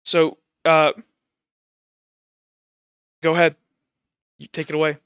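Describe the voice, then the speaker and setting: Bright, higher-voiced man running a game. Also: completely flat delivery, clean tone, dry recording, dull audio. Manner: halting, informal delivery with many "like"s. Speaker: game master. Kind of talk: improvised tabletop roleplay narration; casual chat with players.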